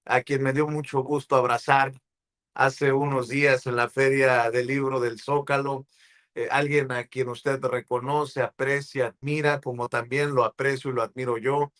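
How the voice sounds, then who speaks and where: A man's voice: mid-range voice, level tone, normal speed, a bit bright. A man with a Mexican accent hosting a live political talk show, speaking in an effusive, affectionate way. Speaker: show host.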